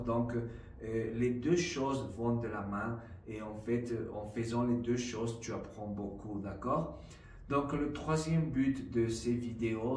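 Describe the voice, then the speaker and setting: A man speaking French that is not his first language, talking slowly and haltingly and stopping often to check in with his viewer. His voice carries a roomy echo from a hard-walled space. He sits a little back from the microphone, and faint ambient noise runs underneath. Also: mid-range voice, darkish tone, distant mic. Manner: slow, halting, many fillers and "d'accord?" checks. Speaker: trading vlogger. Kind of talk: casual explanatory vlog.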